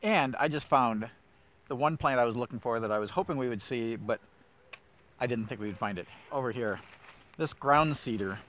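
A man's voice: medium pitch, moderate pace, a bit bright. A middle-aged man guiding a casual walk through winter woods, enthusiastic and thinking aloud with plenty of fillers. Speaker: woodland steward host. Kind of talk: casual nature walk guide.

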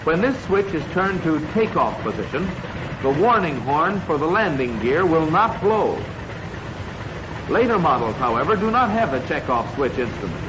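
An old, muffled and noisy film soundtrack carries an American man's fairly high voice. He narrates in a 1940s instructional style, enunciating each step in a measured way with lively inflection.

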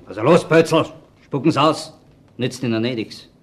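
An actor playing a sarcastic, pushy police inspector with an Austrian accent, needling and confrontational, in a radio crime play. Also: male voice, medium pitch, normal speed, lightly acted. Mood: pressuring.

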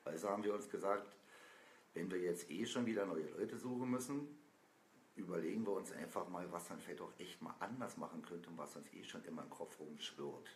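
A man's voice: sits low, fairly quick. A man chatting casually on a live stream from a sofa, talking in loose, rambling sentences with many fillers. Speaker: band member. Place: small indoor room, seated on a sofa. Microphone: camera a few feet away. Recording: roomy with faint noise.

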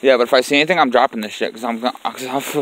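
A man's voice: mid-range voice, racing, fairly bright tone, rough recording. A man talking casually and bluntly, with slang and swearing, as he films outdoors in the woods. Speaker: camper filming the outing. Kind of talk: casual camping vlog talk, swearing. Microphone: handheld camera he is holding.

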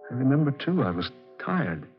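An actor playing a tormented, weary man with a halting, intense delivery in 1940s radio style. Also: medium pitch, very animated. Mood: dazed, wondering. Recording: dull 1940s radio recording, music behind.

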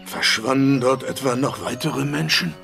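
Brooding father, gravelly voice. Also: medium pitch, normal speed, music in the background. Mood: wary, bitter. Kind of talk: period mystery radio play acting.

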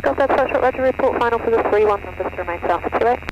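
A man's voice: very high voice, very fast. A man working as an air traffic controller, giving clipped procedural instructions over the radio. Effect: aircraft radio transmission. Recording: muffled, noisy aviation radio. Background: radio and engine noise.

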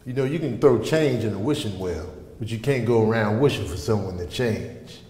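A man's voice: on the low side, normal speed, a little mellow, a little hiss or hum, lightly acted. Man acting as a solemn, pompous janitor sage. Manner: solemn, pompous, proclaiming advice. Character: eccentric male office janitor spouting nonsensical proverbs. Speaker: comedy sketch actor. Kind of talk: sketch comedy acting. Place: open-plan office and restroom, indoors. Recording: hall reverb, music behind.

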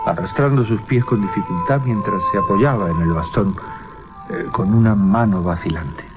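An actor narrating a horror radio drama as an ominous storyteller, with suspenseful pauses, repetitions and a Spain accent. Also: male voice, on the low side, loud music behind the voice, close to an everyday voice. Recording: muffled 1980s radio recording.

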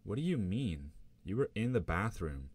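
A man's voice: sits low, very animated, normal speed, a little mellow, husky, no echo, heavily acted. A man voicing a young woman, the narrator's girlfriend, pleading and defensive in a storytelling reading. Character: young woman girlfriend, gentle but hurt.

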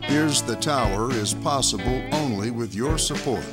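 A man reading the show credits and sponsor plug in a measured, unhurried voice. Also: medium pitch, expressive. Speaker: show announcer. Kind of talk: scripted credits read over music. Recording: voice over loud guitar music.

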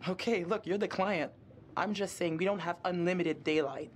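Female game character, actress as practical dive-boat captain, wary and frank, mid pitch, faint ambient noise. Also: normal speed, lightly acted. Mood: sceptical. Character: wary, practical young woman boat skipper. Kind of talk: video game cutscene acting.

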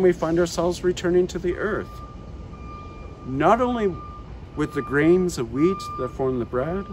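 A man's voice: fairly high pitch, a little hiss or hum. A middle-aged man, a parish priest, preaching a sermon outdoors in a garden with earnest, rising and falling emphasis.

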